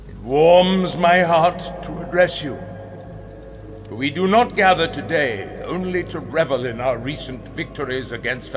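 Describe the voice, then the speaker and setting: An actor playing a grandiose, menacing rabbit ruler, orating theatrically with emphatic, dramatic pauses. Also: male voice, a little high. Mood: proud, solemn. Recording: long hall reverb, music underneath.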